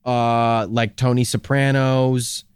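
Dry, smooth male co-host delivering deadpan improv bits for a comedy podcast, close and dead-sounding. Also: mid-range voice, slow pace, no room sound. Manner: deadpan, riffing absurd confessions.

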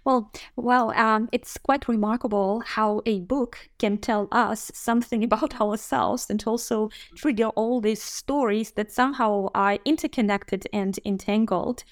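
A woman hosting an interview, asking measured questions and listening attentively with frequent mm-hmms. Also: fairly high pitch, fairly even intonation, no echo, studio quality. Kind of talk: podcast interview.

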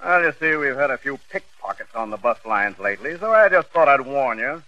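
An actor playing a friendly, casual bus detective who speaks confidingly in an old radio comedy. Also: male voice, medium pitch, sing-song, a little fast, dry recording, lightly acted. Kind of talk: 1940s radio comedy acting. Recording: dry, dull old radio recording.

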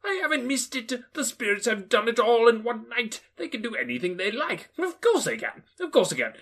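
A man reading an audiobook, voicing an old male miser in a high, breathless voice, exclaiming and half laughing, half crying. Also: big pitch swings, brisk, a bit bright, heavily acted. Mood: giddy, overjoyed.

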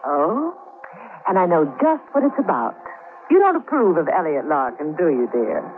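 An actress playing a willful young niece, arch, teasing and defiant, in a 1940s radio drama. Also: female voice, sits low, big pitch swings, normal speed, narrow, tinny audio. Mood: arch mockery. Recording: thin old radio recording, background hiss.

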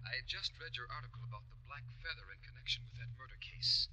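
A nervous telephone caller in a 1940s radio drama. His voice is quick, piercingly sharp and husky, with polite hesitancy. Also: medium pitch, rough recording. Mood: nervous, hurried.

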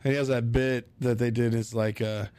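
A man's voice: mid-range voice, flat delivery, moderate pace, darkish tone, clear, resonant tone. A man on a comedy podcast, chatting casually with crude, joking anecdotes.

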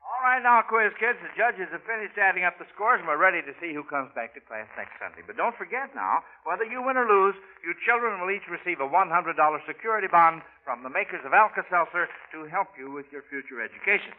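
A man's voice: sits high, lively intonation, fast talker, hardly any pauses. An American man hosting a 1940s radio quiz, talking in a folksy schoolmaster manner to children. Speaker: quiz show host. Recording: tinny, band-limited old radio recording.

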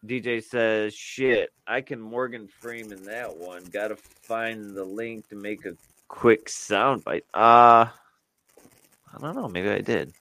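A man with a lowish voice co-hosts a casual podcast. He rambles, restarting sentences and filling gaps with "um" and "uh". The sound is dry and close, with no room to it. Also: takes it slowly, sharp tone, dry recording. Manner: rambling, lots of fillers and restarts. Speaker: podcast co-host. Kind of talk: casual chat.

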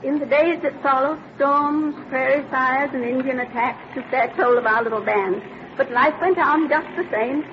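A woman's voice: high voice, moderate pace, lightly acted. An actress playing a wistful pioneer woman, narrating her memories in an old-time radio drama. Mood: reminiscent. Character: wistful pioneer woman recalling her wagon-train days. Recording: muffled, faintly hissy vintage radio recording.

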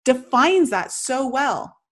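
A woman's voice: sits high, sing-song, takes it slowly, fairly bright tone. A woman hosting a podcast interview, speaking conversationally in an emphatic, affirming way. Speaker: podcast host, tarot reader.

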